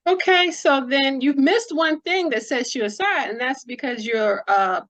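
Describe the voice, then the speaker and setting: A woman's voice: high voice, measured pace, a bit bright. A woman hosting a casual interview, asking questions in a playful, informal way. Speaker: interviewer, host.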